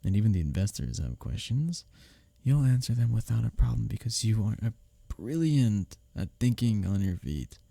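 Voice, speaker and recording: Casting: male actor as smitten workplace rival for intimate roleplay audio. Breathy, husky, dry close sound; teasing yet earnest. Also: on the low side, no room sound, exaggerated voice. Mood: reassuring. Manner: expressive, halting confessions with soft chuckles and sighs. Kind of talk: ASMR roleplay audio drama, spoken intimately to the listener.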